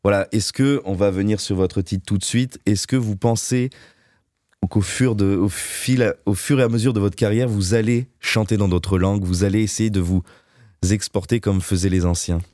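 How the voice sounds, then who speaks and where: A male radio host with a fairly low voice leads an interview. His questions wander and he corrects himself mid-sentence as he goes. The sound is dry and close to the mic. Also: fairly even intonation, moderate pace, dark tone, no echo. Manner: rambling, self-correcting questions.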